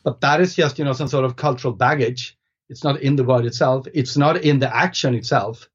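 A man's voice: mid-range voice, steady tone, a little fast, slightly rough. Native Swedish man, podcast guest, hesitant and thoughtful delivery, slightly muffled recording. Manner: thoughtful, hesitant with many restarts and fillers. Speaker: guest author. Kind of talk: casual podcast conversation. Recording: slightly dull lo-fi sound.

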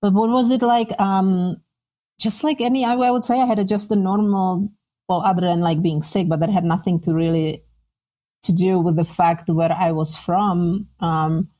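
Mellow middle-aged Czech-accented woman, lower-pitched. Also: steady tone, moderate pace. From Czech Republic. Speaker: interview guest. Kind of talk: conversational personal recollection.

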